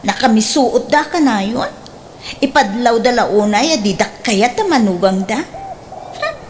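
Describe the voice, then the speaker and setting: An actress plays a coy, wheedling mother of five in an Ilocano radio drama. Her Ilocano-accented voice swoops up and down in a very lively way, with slight noise behind it. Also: fairly high pitch, measured pace. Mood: sulky, insistent.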